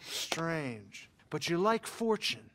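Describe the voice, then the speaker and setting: A florid middle-aged biographer in a western game. His voice sits high and rises and falls a great deal. He talks theatrically, like a desperate man of letters. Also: big pitch swings, slow, fairly bright tone, slight background noise, exaggerated voice. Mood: eager, curious. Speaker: biographer character. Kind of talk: dramatic game cutscene acting.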